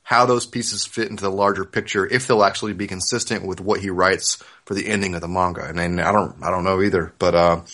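A man in a casual, reflective podcast discussion of manga, often halting and correcting himself. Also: sits low, steady tone, a bit bright. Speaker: podcast co-host.

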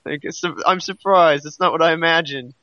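A man's voice: a little high, a little fast, pushed and bright, no echo. A man co-hosting a podcast in informal, musing chat, recorded on a dull lo-fi track. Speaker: podcast co-host. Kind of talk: casual chat.

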